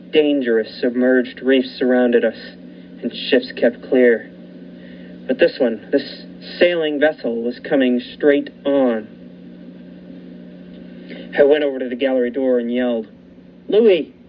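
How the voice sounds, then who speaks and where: Suspenseful lighthouse-keeper narrator, played by a man, measured delivery, mid voice; dull muffled recording. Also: mid-range voice, lightly acted. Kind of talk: student radio play narration. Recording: muffled with faint hiss.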